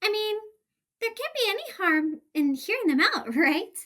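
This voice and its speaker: A woman's voice: very high voice, big pitch swings, normal speed, pushed and bright, heavily acted. A woman voicing a spirited small female pit fighter in an audio drama. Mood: wary, curious.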